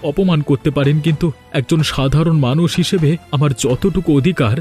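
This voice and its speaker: A man's voice: on the high side, brisk, a little mellow, soft background music, close to an everyday voice. A man voicing a proud, hard-working village husband in a cartoon, with an emphatic, declamatory delivery. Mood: defiant. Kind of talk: cartoon voice acting.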